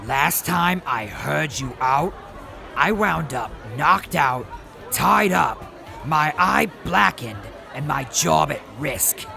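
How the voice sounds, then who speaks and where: A man doing a grumpy, curt, gravelly grouch. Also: fairly high pitch, takes it slowly, a faint crowd, heavily acted. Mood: grudging. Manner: curt, flat and grudging. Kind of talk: audio drama acting.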